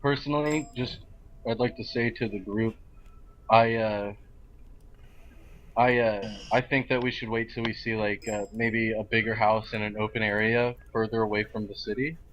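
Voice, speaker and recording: A man's voice: fairly low pitch, steady tone, moderate pace, a bit bright. A man chatting casually as a player in a roleplaying game, somewhat hesitant as he puts ideas forward. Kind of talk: casual chat. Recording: dull lo-fi mic, faint noise.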